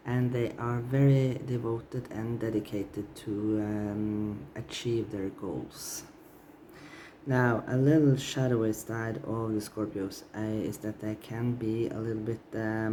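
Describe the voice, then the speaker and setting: A man's voice: lowish voice, fairly even intonation, slow, mellow. A man giving a tarot reading with a scratchy, strained voice, hesitant and full of fillers and restarts. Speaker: tarot reader.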